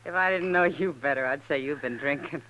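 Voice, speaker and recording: A woman's voice: low voice, brisk, natural voice. An American actress playing a self-assured, teasing frontier woman, bantering wryly in a 1950s radio western. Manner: wry, bantering. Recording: dull, faintly noisy vintage radio recording.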